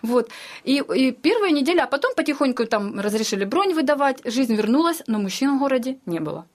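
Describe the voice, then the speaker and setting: A woman in middle age with a fairly high voice talks very quickly, as in a personal interview. She tells her own story conversationally and slips quoted dialogue into it. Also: sing-song. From eastern Ukraine. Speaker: interviewee telling her family's war story.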